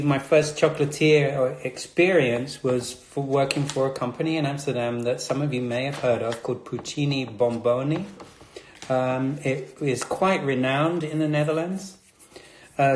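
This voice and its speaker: A man's voice: mid-range voice, slight background noise. A man from Britain, a chocolatier hosting a casual live chat, talking in a chatty, slightly nervous way with many fillers. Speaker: chocolatier host. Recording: roomy echo.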